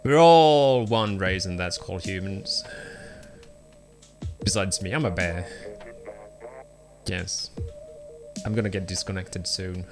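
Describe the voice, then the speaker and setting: A man's voice: deep voice, slow pace, pauses often. Chatty streamer man over game music. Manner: rambling, chatty, answers chat, frequent ums and uhs. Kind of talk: casual live-stream commentary while gaming. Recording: game music playing behind.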